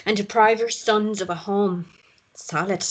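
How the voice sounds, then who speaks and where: A woman's voice: mid-range voice, fairly even intonation, unhurried, close to an everyday voice, poor audio. An actress playing a hurt, defiant woman with an intense, emotional manner in a drama table read. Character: hurt, defiant mother standing up to her ex.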